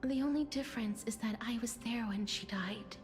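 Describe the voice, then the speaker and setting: An actress playing a gentle, solemn young alchemist in a video game, speaking softly and formally in measured phrases. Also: female voice, fairly high pitch, lightly acted. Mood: gentle, reassuring. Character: gentle solemn young woman, alchemist guiding souls of the dead. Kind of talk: scripted video game voice acting. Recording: game audio with music behind.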